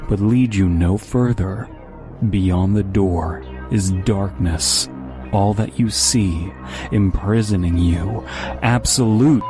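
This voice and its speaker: A man reading a scripted horror story in a measured, solemn, deliberate way. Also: fairly low pitch. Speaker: story narrator. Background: loud music.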